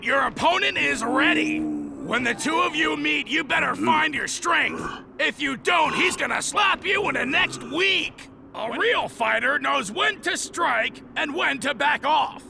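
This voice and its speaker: A big, boastful male cartoon coach for a video game. The voice is strikingly high and gravelly, leaping around in pitch. He is pompous and goofy, barking training advice like a self-styled champion. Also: full character voice. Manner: pompous, speaks of himself in third person. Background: music.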